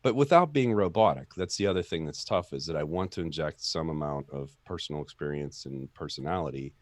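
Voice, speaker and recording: A man with a very low, steady, monotone voice hosts a relaxed chat, musing out loud and doubling back on his own thoughts. The sound is dry and close, with no room echo. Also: brisk. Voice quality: deep, monotone voice. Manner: rambling, self-reflective, many restarts. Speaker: podcast host. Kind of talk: casual chat.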